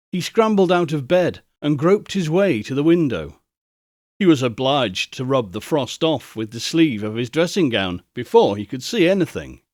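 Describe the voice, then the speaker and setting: A man narrating a classic tale as a dramatic storyteller, with theatrical, deliberate and emphatic phrasing. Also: mid-range voice, no room sound, clean studio sound. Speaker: audiobook narrator.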